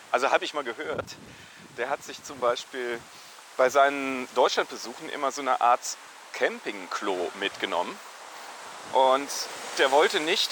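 A man's voice: medium pitch, background noise. A man musing aloud in a casual, loosely told monologue.

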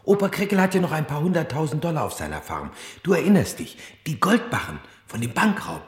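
A jaunty jailed gangster, with very animated, swinging intonation. He is breezy and patronizing in old comic radio-serial fashion, in an echoey cell-like room. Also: on the high side, fairly quick. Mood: soothing. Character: jaunty male gangster in jail, soothing his wife.

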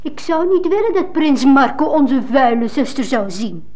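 A woman's voice: high-pitched, sing-song, moderate pace, a little mellow. A woman with a Flemish accent playing a vain, boastful young stepsister in theatrical 1950s fairy-tale radio acting. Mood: boastful, haughty. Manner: haughty, squabbling, theatrical.

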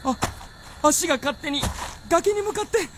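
A woman's voice: very high-pitched, animated, unhurried, noisy background. A woman acting as a hot-headed, naive young hunter boy in a radio drama, blustering and stammering in rustic boyish speech. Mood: panicked, pleading.

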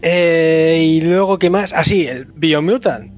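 Man, podcast co-host, chatty, opinionated, slightly high voice, faint music. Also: takes it slowly. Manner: chatty, opinionated, laughs often. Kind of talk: casual gaming chat.

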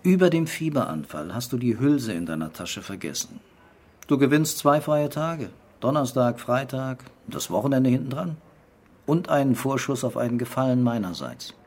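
An actor playing a wry, deliberate French police commissioner in a German radio crime drama. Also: male voice, mid-range voice, expressive, darkish tone, slightly rough. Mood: coaxing.

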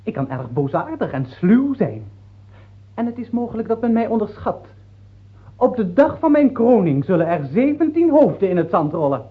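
An actor playing a childish, sly crown prince of twenty-eight in a radio play, prim and naive in manner. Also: male voice, high voice, very animated, normal speed. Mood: sly menace. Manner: prim, naive, quoting his mother. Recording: thin, narrow-band old radio recording.

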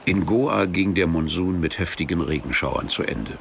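A man narrating a literary radio crime drama in a measured, deadpan manner, as a cool, sardonic storyteller. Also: deep voice, creaky, background noise. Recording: music under the voice.

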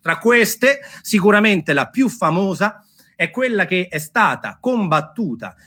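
Male theatre storyteller, high-pitched and raspy, delivering emphatic dramatised history with repetitions and stretched key words. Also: very animated, unhurried, sharp tone.

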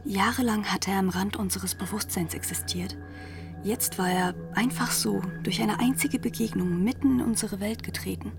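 A woman playing a haunted narrator, hushed and deliberate, often half-whispering, in a literary radio drama. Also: mid-range voice, vocal fry, over music, close to an everyday voice. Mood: brooding.

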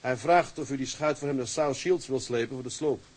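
An actor playing a steady, outspoken first mate of a seagoing tug, with a Dutch accent and a practical, matter-of-fact manner. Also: male voice, medium pitch, brisk, natural voice. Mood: sober, reporting. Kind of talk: film drama acting.

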